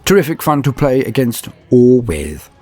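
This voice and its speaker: A man's voice: mid-range voice, sing-song, normal speed, mellow, faint music behind. A man hosting and narrating scripted fantasy wargame lore in a theatrical, florid, formal manner. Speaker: host and narrator of a tabletop wargame lore channel.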